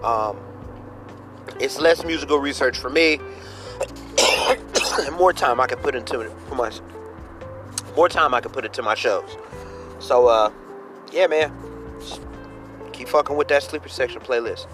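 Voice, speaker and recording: A man's voice: medium pitch, unhurried, pauses often, over music. A man hosting a podcast, talking casually and informally with slang and the odd swear word. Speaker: podcast host.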